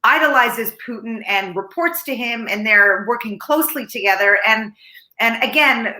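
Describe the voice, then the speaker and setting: A woman's voice: fairly high pitch, moderate pace, reverberant, slight background noise, rough recording. A woman film critic whose first language is Ukrainian, chatting in a casual review with laughs and frequent restarts. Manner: animated, laughing, restarts often.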